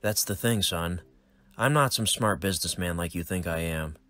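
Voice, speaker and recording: A man playing a weary, protective middle-aged hitman father, speaking in a steady, earnest voice. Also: sits low, moderate pace, no echo. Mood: somber.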